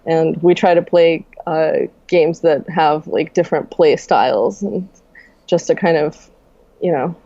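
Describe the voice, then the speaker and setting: Soft, low-voiced young woman. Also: unhurried, no room sound, highs cut off. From Russia. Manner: relaxed, soft-spoken, many fillers and laughs. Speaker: game designer, guest. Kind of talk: casual podcast interview.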